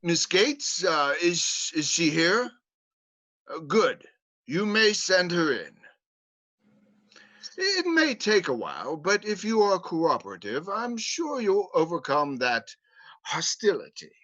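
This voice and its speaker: A man's voice: sits high, huge pitch swings, slow, pushed and bright, exaggerated voice. A man voicing a pompous male hospital psychiatrist in a livestream script reading, hesitating with fillers. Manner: hesitant, stumbling over fillers. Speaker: play reader. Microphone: home mic on a livestream.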